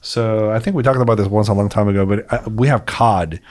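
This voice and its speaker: A man's voice: fairly low pitch, a little fast, a little mellow. A man co-hosting a radio show, speaking casually and easygoing. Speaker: co-host.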